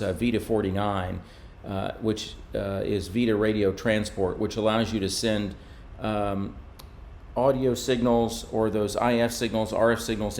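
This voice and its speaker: A man with a fairly low voice explains technical material as a presenter. His delivery is measured and informal, like walking through slides. Faint noise sits behind the voice. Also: darkish tone. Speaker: presenter from a radio maker.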